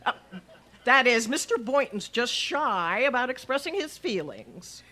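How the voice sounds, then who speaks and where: An actress playing a wry, wisecracking but easily flustered schoolteacher in an old-time radio comedy. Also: female voice, fairly high pitch, sing-song, unhurried, pushed and bright, heavily acted. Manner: dry, quipping, stammers when flustered.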